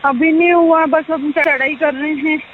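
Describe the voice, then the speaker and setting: A woman's voice: high-pitched, fairly even intonation, unhurried, a little hiss or hum. A young woman, tired and out of breath, chatting casually with family on a recorded phone call. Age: young adult. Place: outdoors, on a phone while climbing.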